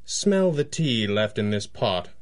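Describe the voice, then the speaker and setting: An actor playing a confident, courteous but firm amateur detective in a 1930s-style radio drama. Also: male voice, lowish voice, very animated, slow. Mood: grave, firm. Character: confident, probing gentleman amateur sleuth, calmly authoritative.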